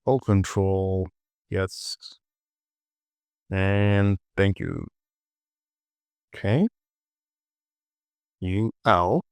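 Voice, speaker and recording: Slow-talking man with a gravelly, low voice. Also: darkish tone, no echo. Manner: muttering short clipped phrases to himself. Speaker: 3D modeling tutorial presenter. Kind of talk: casual screen-recorded software tutorial, thinking aloud.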